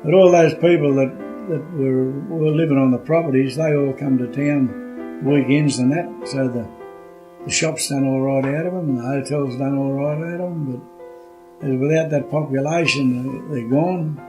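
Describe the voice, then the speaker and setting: An older man with an Australian accent, reminiscing in a plain-spoken, laconic way with frequent halting fillers. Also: mid-range voice, fairly even intonation, dark tone, soft background music, low-quality recording. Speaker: interviewee, retired forestry worker. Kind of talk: casual reminiscing.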